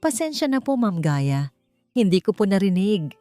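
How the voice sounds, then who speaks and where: A woman voicing a meek, kind young female janitor in an audiobook story, soft and polite, with music behind. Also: medium pitch, big pitch swings, moderate pace, pitched above the performer's own voice, close to an everyday voice. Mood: meek, apologetic.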